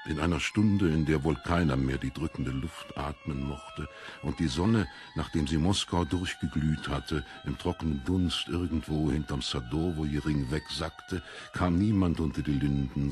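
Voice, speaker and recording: Ein Mann liest als Hörbucherzähler einen literarischen Text, mit rauer Stimme und bedachter, dramatischer Phrasierung. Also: male voice, very deep voice, measured pace, darkish tone, faint music behind. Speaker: audiobook narrator. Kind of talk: scripted literary narration.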